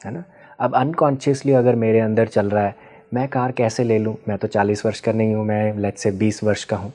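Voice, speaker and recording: Male expert guest, warm low-key timbre, teacherly and patient, code-switches into English. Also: fairly even intonation, normal speed. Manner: patient teacherly explaining with frequent checking questions; mixes Hindi with English terms. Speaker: guest expert on ancestral healing. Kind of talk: explanatory interview answers.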